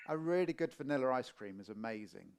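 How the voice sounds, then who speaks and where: A man from Portsmouth with a Middle England accent, hosting a casual interview and telling relaxed anecdotes. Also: medium pitch, fast, creaky, a crowd in the background. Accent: Middle English. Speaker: interviewer, podcast host.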